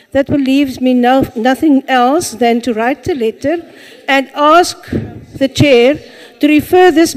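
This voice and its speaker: A woman's voice: sits high, darkish tone. A middle-aged woman firmly citing procedural rules in a parliamentary session. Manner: firm, procedural, measured. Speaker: member of parliament. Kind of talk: parliamentary point of order. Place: large parliament chamber, indoors. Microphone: desk microphone, seated. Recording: reverberant parliament chamber.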